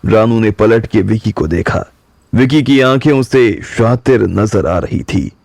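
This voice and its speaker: Low, gravelly male storyteller. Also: dry recording, studio quality. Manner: slow, steady storytelling. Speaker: narrator. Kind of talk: scripted storytelling narration.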